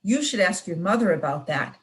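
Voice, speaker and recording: A woman voicing a gentle storybook father. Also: on the low side, expressive, moderate pace, close to an everyday voice. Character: fond father, patient dance teacher.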